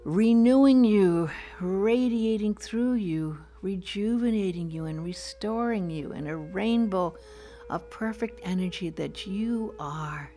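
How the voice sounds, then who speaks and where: A woman leading a guided meditation in a soft, soothing, gentle voice, with poetic phrasing. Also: mid-range voice, slow. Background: soft music.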